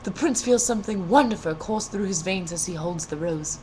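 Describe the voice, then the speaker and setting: A woman's voice: medium pitch, normal speed, warm tone, a little hiss or hum. A woman storyteller reading a fairy tale aloud in a playful, theatrical way, with casual humor.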